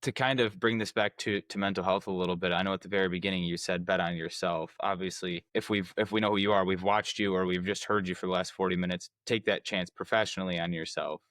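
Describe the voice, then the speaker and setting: A man's voice: sits low, steady tone, fast talker, hardly any pauses, fairly bright tone, dry recording, studio quality. A young adult man hosting a podcast interview, asking long, rambling questions with frequent restarts. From United States. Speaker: podcast host. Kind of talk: casual interview chat.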